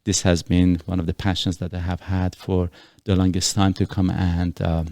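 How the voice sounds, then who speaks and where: Middle-aged man from Iran, podcast host, very low and gravelly, rambling and earnest. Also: completely flat delivery, moderate pace, darkish tone, no room sound, clean studio sound. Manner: earnest, rambling explanations with many fillers. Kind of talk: casual podcast chat.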